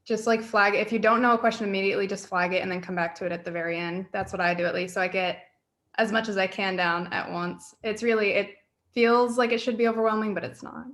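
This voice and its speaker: This is a young woman with an average-pitched voice. She talks as a college student would, casually passing advice along to her classmates. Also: fairly even intonation, fast, fairly bright tone. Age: young adult.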